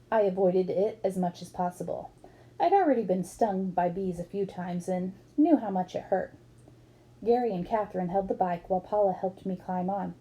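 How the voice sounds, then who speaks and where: A woman's voice: mid-range voice, mellow, poor audio. A woman reading a book aloud expressively, as for children, acting up the dialogue. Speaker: book reader.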